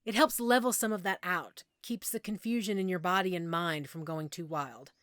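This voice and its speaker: A woman playing a friendly werewolf pack member in an intimate audio roleplay, patiently explaining and gently reassuring the listener. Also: lowish voice, normal speed, dry recording, studio quality, natural voice. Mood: patient, explanatory.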